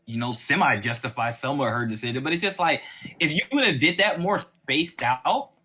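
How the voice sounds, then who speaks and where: Animated, bright-voiced man chatting over background noise. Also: mid-range voice, normal speed. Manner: animated, exasperated, acts out mocking imagined quotes. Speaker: host of a fan discussion series. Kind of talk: casual opinionated chat about a TV series.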